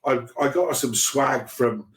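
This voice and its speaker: A man's voice: mid-range voice, a little slow. A man guesting on a podcast over a video call, chatting casually in a rambling way with many restarts. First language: English. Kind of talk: casual chat. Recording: echoey room.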